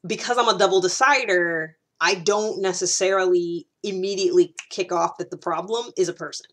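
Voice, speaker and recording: A woman's voice: lowish voice, moderate pace. A woman giving a casual, explanatory monologue as a video host, conversational and often rephrasing or repeating herself.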